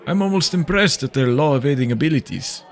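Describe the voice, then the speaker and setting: A man playing a guarded, matter-of-fact investigator in a sci-fi audio drama, with a dry, measured delivery. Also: on the high side, animated. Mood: frustrated.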